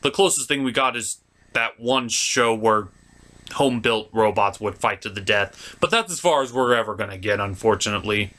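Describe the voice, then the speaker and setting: A man's voice: on the low side, normal speed, a bit bright. A man hosting an enthusiastic scripted cartoon review, emphatic and blunt with casual asides. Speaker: host of a cartoon review show.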